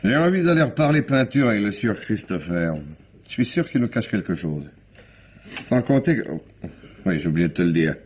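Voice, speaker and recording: A man's voice: on the low side, very animated, normal speed, darkish tone, husky. A man playing a shrewd, unflappable police commissioner in a mid-century French radio crime drama, speaking in a dry, terse, colloquial way. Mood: dry, probing. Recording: dull, muffled old radio recording.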